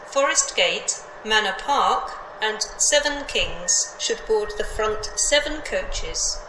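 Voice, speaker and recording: A British woman making formal, measured scripted train announcements over a station PA, in pieced-together phrases. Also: on the high side. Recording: echoey station PA, loud background noise. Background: loud station ambience, trains.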